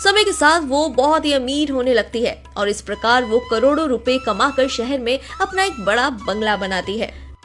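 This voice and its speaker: Female storyteller for cartoon narration: high-pitched, highly animated delivery. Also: moderate pace, soft background music.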